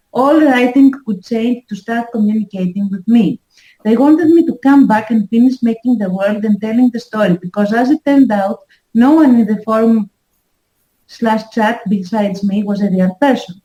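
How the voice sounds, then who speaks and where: Mellow-voiced woman in an echoey room. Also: a little high. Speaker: podcast guest. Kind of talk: casual chat, reading a story.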